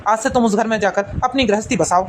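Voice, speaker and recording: A woman's voice: on the high side, fast talker, noisy background, voice pushed down, natural voice. A woman voicing a grave, hurt elderly father and family head in a cartoon drama, speaking solemnly and decisively. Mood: grave, resolute.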